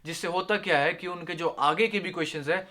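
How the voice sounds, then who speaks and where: A man's voice: high-pitched, brisk, sharp tone. A young man giving casual spoken exam tips to his viewers in a friendly, chatty way, often dropping English phrases into his talk. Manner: friendly, addresses viewers as "yaar" and "doston", mixes English phrases.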